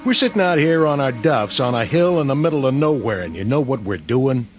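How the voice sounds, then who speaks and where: A man playing a gruff sarcastic army sergeant. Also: medium pitch, animated, fairly quick, close to an everyday voice. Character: tough weary male army sergeant. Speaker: actor in a war drama. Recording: old TV soundtrack with music.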